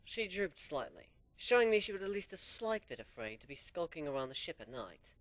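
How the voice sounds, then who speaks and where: A man narrating a fan story in the first person as an amateur dramatic reading, animated and sinking low for suspense. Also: a little fast, no echo. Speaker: story reader.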